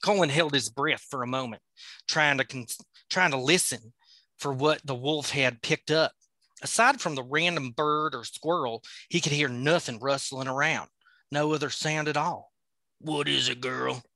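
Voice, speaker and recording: A man's voice: a little high, normal speed, bright, forceful tone, dry recording. A man hosting a podcast, reading a novel aloud with playful, joking asides. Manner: playful, laughs at his own slips.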